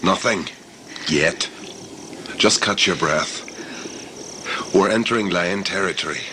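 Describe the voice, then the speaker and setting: Noisy ambience surrounds a low-pitched man acting as a gruff jungle hunter. He is world-weary and cynical, speaking in blunt, clipped phrases as in a sci-fi audio play. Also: slow pace. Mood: terse, wary. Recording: noisy amateur recording with background ambience.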